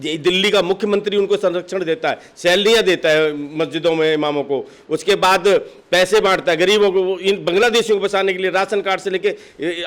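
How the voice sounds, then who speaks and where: A man with a notably high voice speaks as a political official in an interview. He is argumentative and insistent, pressing his case with one rhetorical question after another. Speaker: political party district official being interviewed. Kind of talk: impassioned interview answers.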